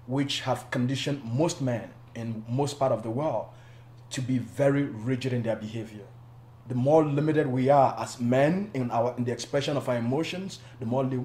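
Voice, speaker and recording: A man from Cameroon talks as a guest on a studio panel. His voice sits at a middle pitch, and he explains his ideas in a measured way, restarting phrases now and then. The room around him is noticeably echoey. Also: darkish tone. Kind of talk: casual panel discussion.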